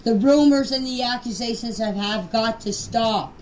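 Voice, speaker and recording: A woman's voice: on the high side, moderate pace, darkish tone, recorded from a distance, slight background noise, poor audio. An older woman speaking informally in voice messages, plain-spoken, earnest and emphatic. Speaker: grandmother of missing children. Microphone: phone voice message.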